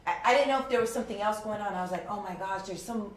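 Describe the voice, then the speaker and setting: A woman's voice: mid-range voice, rapid-fire. A woman hosting a casual interview, bubbly and playful, joking with her guests. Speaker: interview host. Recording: echoey, far from mic, crowd behind.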